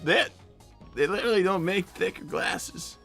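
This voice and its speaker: A man's voice: high voice, moderate pace, vocal fry, a bit bright, dry recording, faint music behind, full character voice. A man voicing a flustered, defensive young cartoon fox boy with a cracking voice and a stammering, exasperated manner, in an improvised comedy livestream. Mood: explaining. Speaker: livestream co-host in character.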